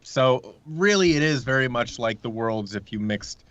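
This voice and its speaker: A man talking casually and a little haltingly as an interview guest, pitching an audio drama he helped create. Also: animated, a bit bright, dry recording, dull audio.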